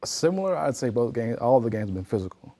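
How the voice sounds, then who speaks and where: A man's voice: medium pitch, sing-song, fast, warm tone. A young man from Florida, a college basketball player answering interview questions in a polite, composed way. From Florida, USA. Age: young adult.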